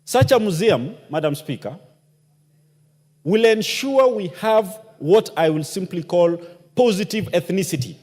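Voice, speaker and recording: A man's voice: high voice, lively intonation, unhurried, darkish tone, slightly rough. A man giving an impassioned parliamentary speech, asking rhetorical questions. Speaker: member of parliament. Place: parliament chamber. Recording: long hall reverb.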